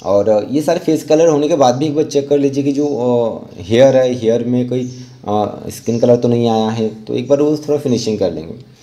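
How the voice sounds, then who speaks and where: Mellow-voiced man giving art instruction. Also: mid-range voice, normal speed. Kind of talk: informal step-by-step tutorial narration.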